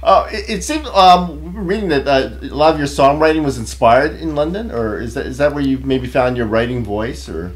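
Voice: mid-range voice, brisk, hardly any pauses, poor audio. An interview host asking questions hesitantly, often restarting. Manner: hesitant, many fillers and restarts.

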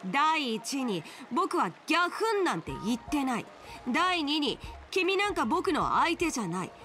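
A woman's voice: sits high, sing-song, measured pace, bright, forceful tone, soft background music, heavily acted. A woman voicing a cocky teenage boy swordsman in a game, teasing and challenging.

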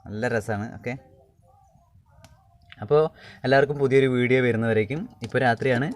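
A man's voice: medium pitch, steady tone, brisk. A man from Kerala, India, casually showing viewers how he cooks at home, rambling and repeating his phrases as he works. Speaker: home cook and vlogger. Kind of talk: casual cooking demonstration to viewers. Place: home cooking spot with wood fire. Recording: clattering pans and clicks, noisy self-shot recording.

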